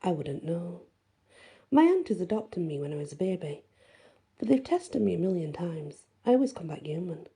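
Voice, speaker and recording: A woman voicing a gentle teenage girl's summoned soul in a fantasy story. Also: lowish voice, big pitch swings, normal speed, slightly rough, heavily acted. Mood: earnest, puzzled. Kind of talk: fantasy audiobook dialogue.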